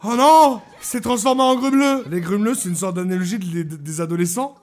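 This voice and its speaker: Casting: male YouTube reactor, high voice, loose slangy delivery, blunt and exclamatory. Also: fairly quick, soft background music. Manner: exclamatory, slangy, crude-mouthed. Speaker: YouTube host reacting to cartoons. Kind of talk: casual reaction commentary.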